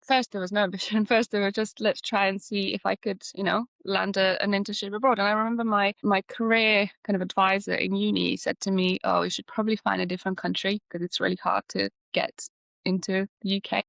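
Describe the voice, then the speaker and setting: A woman's voice: mid-range voice, little pitch movement, a little fast, gravelly, a bit bright, no echo. A woman in her thirties from Slovenia, chatting as an interview guest, rambling with frequent restarts. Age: 30s. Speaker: architect, guest. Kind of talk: conversational interview.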